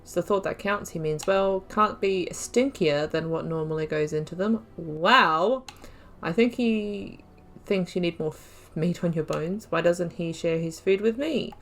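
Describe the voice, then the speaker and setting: A woman's voice: lowish voice, measured pace. A woman giving casual, chatty commentary on her video game playthrough, giggling and muttering asides. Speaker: gaming YouTuber. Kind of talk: casual let's-play commentary.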